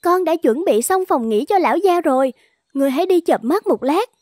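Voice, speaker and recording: A woman voicing a young, attentive household servant in an animated folk tale, respectful and solicitous. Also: sits high, brisk, dry recording, studio quality.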